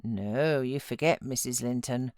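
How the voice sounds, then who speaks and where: A woman voicing a sensible, unsympathetic female servant who answers her mistress in a measured, matter-of-fact way. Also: very deep voice, darkish tone, dry recording, studio quality, voice pushed down. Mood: placating, firm.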